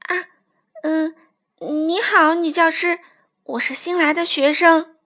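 A woman's voice: very high-pitched, very animated, moderate pace, a bit bright, pitched above the performer's own voice, heavily acted. A woman voicing a friendly little boy mouse in a children's story, polite and eager.

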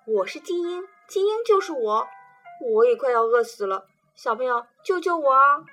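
A woman's voice: high-pitched, expressive, normal speed, faint music behind. A woman voicing a hungry songbird that pleads for food and praises its own singing. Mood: pleading. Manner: loud, sing-song pleading. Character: hungry songbird, proud singer of the garden.

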